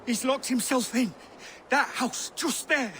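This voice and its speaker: Terrified, high-pitched cowering priest. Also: male voice, slow, over music. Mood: frightened, pleading. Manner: panicky, pleading. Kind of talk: video game voice acting.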